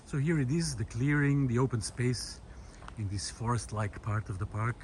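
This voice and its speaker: A man talking unscripted and reflectively to camera outdoors in a wooded park, pausing often mid-sentence between short phrases. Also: mid-range voice. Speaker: vlogger. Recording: clear outdoor background noise.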